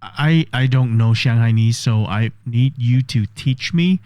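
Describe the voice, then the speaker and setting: A man's voice: medium pitch, unhurried, darkish tone, dry recording. A man vlogging a test of an AI voice assistant, explaining step by step and thinking aloud. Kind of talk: casual tech demo commentary.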